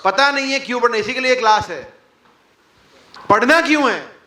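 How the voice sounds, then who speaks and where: A man's voice: extremely high pitch, moderate pace, bright, forceful tone, reverberant. A man leading an interactive spiritual class before a live audience, firing rhetorical questions and mock quotes, with English words mixed into his talk. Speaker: devotional class speaker.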